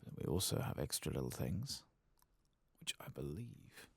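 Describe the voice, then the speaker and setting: A man giving soft-spoken ASMR commentary on a video game, in a breathy voice that often drops to a whisper. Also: rumbling low, sing-song, normal speed, no room sound.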